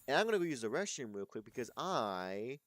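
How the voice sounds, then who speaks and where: A man's voice: medium pitch, sing-song, a little fast. A man of twenty-two chatting casually on a live stream, rambling and correcting himself, swearing freely.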